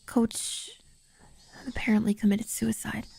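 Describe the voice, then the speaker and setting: A woman voicing an earnest, concerned teenage boy with a halting delivery, in a story readalong. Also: mid-range voice, level tone, gravelly, mellow, breathy edge, whispered, full character voice.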